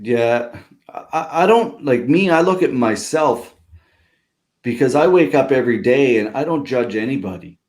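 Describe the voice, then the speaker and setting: A man's voice: mid-range voice, animated, a little slow, darkish tone. Regular guy chatting on a podcast, earnest, says man a lot. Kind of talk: casual podcast chat.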